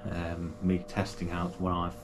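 A man chats his way through an unboxing at home. His voice sits a little low, and he talks with plenty of fillers and restarts. Background noise is clearly audible in the room. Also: completely flat delivery, unhurried. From United Kingdom. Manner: chatty and enthusiastic, with frequent fillers and restarts. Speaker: kayaking channel host. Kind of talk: casual product unboxing. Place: small home gaming room, indoors.

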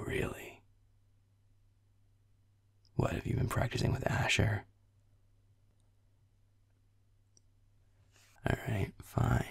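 A man playing a grumpy, foul-mouthed young werewolf boyfriend, muttering sullenly in a breathy, gravelly whisper in an intimate roleplay audio. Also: on the low side, darkish tone, dry recording, heavily acted. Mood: grudging, teasing. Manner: sullen muttering, swearing, sarcastic.

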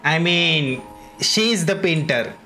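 A man's voice: on the high side, animated, pushed and bright, soft background music. A man giving casual, offhand reaction commentary while playing a story game. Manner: unhurried, offhand remarks to the game.

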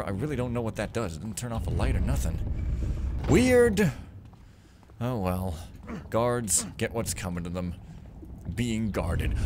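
Sarcastic rambling man playing a game. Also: medium pitch. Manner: sarcastic, rambling, jokey asides. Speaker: let's play commentator. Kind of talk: casual gameplay commentary.

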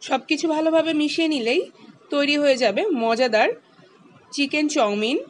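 A woman, calmly walking through a home cooking recipe step by step as the channel's host. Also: sits high, normal speed, slight background noise. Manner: calm, instructive, explains each step.

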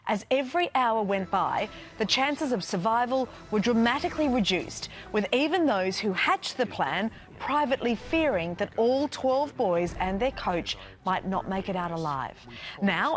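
A woman with an Australian accent presenting a scripted news introduction on television. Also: mid-range voice. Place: television studio.

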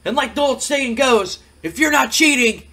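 A man plays a brash male wrestler cutting a boastful promo. The voice is high and sharp, declaiming loudly with heavy emphasis. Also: normal speed, full character voice. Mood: defiant, boastful.

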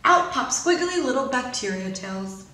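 A woman in her thirties reading a storybook to young children in an expressive, deliberate teacher's manner. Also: sits high. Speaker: pre-K teacher. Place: indoor classroom. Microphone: camera a few feet away. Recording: very echoey room.

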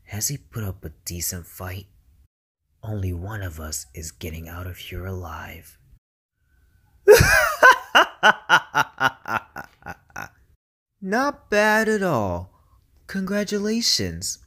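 Sinister young male video game villain, acted by an amateur man: lowish, husky, slow, taunting. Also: very animated, heavily acted. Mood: cold mockery, then gloating, menacing. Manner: slow, taunting, menacing delivery.

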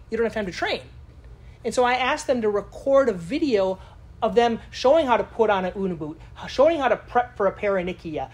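A woman's voice: on the high side, a little mellow, slightly rough. A woman giving a live lecture to a conference audience in a casual, chatty way, often asking the room questions. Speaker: conference speaker.

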